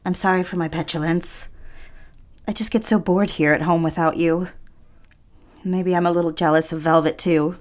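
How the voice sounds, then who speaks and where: A woman's voice: on the low side, fairly even intonation, moderate pace, pauses often, lightly acted. A woman playing a lovelorn, petulant young housewife and mother in a period audio drama, pleading and wistful with old-fashioned phrasing. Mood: contrite, wistful.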